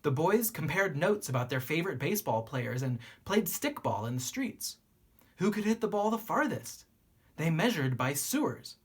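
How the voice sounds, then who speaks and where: Storybook narrator for young listeners: lively, swooping pitch, lightly husky tone, teacherly read-aloud delivery. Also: moderate pace. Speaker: teacher reading a picture book aloud.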